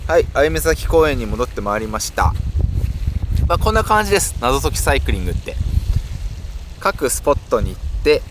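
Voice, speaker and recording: A man speaks in a casual vlogging style with a lively, varied pitch at a middle register. He explains things directly to his viewers like a presenter out on a ride. The recording is outdoors, with clear wind and ambient noise behind him. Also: a little fast, pauses often. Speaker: cycling vlogger presenting local activities. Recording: noisy outdoor audio with wind rumble.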